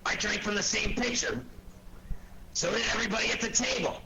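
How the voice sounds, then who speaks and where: An actor playing a blustery, irritable police inspector with an American accent and a gruff, gravelly, bossy delivery in a 1940s radio drama. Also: male voice, high voice, lively intonation, a little fast. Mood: defensive, irritable. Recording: noisy 1940s radio recording.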